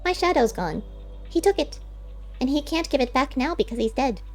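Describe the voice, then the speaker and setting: A woman's voice: sits high, big pitch swings, normal speed, soft background music, pitched above the performer's own voice. A woman voicing a wistful, plain-spoken male squirrel with unusual cleverness, striking bargains in an audiobook story. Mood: wistful, earnest. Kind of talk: audiobook character voice.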